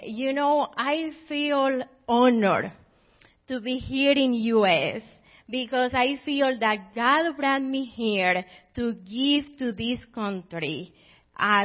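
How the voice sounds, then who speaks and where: A Colombian woman in her thirties with a Colombian Spanish accent, speaking as a missionary at church, halting with fillers and laughing. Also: a little high, lively intonation, highs cut off. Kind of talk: church testimony.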